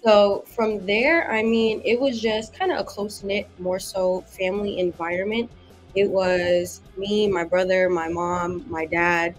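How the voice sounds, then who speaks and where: A young woman from Massachusetts telling her story casually as a podcast guest, informal and wordy, often rephrasing herself. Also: on the low side. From Massachusetts, United States. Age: young adult. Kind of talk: casual personal storytelling in an interview.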